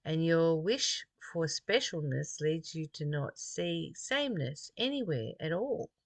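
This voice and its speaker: A speaker from Australia reading a spiritual text aloud and adding personal reflections in a deliberate, earnest manner. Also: medium pitch, very animated, dry recording.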